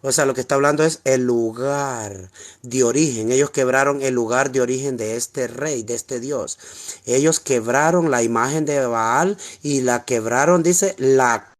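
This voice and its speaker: Man, Honduran Spanish accent, mid-pitched voice, Bible teacher, repeats words, rhetorical questions. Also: unhurried. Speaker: preacher teaching scripture. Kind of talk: informal Bible teaching, screen walkthrough.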